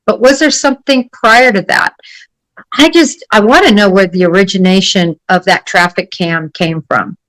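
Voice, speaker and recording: A woman speaking off the cuff as a guest analyst, deliberate and emphatic in her delivery. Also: mid-range voice, a bit bright, no room sound, rough recording. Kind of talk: unscripted case analysis in an interview.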